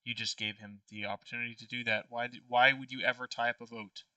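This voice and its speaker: A man's voice: medium pitch, little pitch movement, fast talker, bright, forceful tone, no room sound. A man talking strategy casually with friends as a party game player, a little distant from the microphone.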